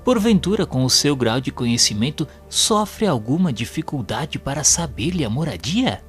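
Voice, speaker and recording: An actor playing an earnest, inquisitive spirit student in an audio drama, with a formal, respectful and expressive delivery. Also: male voice, medium pitch, moderate pace, soft background music. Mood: earnest questioning. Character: earnest, inquisitive male spirit student who narrates his learning. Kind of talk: audio drama dialogue and literary narration.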